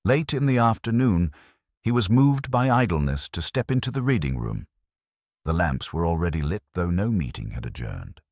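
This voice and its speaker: A man narrating a literary detective story in a measured, dry and formal manner, as for an audiobook. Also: low-pitched, sing-song, a little mellow, no room sound.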